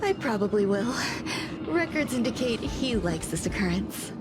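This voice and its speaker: An actress playing a reserved, polite young woman scientist, speaking in a measured, formal way in scripted game dialogue. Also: on the high side, very animated. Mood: composed, polite. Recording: game music behind the voice.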